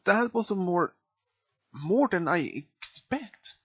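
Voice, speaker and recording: Slow, halting reviewer with lively pitch swings. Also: husky. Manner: halting, searching for words. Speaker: video reviewer. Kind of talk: unscripted review commentary. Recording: dull, lo-fi mic sound.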